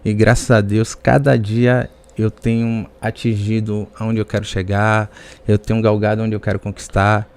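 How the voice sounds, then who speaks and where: A man with a fairly low voice and a Bahian Brazilian accent talks as a guest on a podcast. He tells personal stories in a conversational way. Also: little pitch movement, moderate pace, a little mellow, clear, resonant tone. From Salvador area, Bahia, Brazil.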